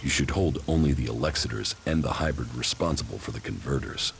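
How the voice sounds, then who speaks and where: A grim soldier turned outcast, with an extremely low, gravelly voice. He is terse and deadpan, a stoic game hero recorded over rain ambience. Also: brisk, a little hiss or hum. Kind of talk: video game voice acting.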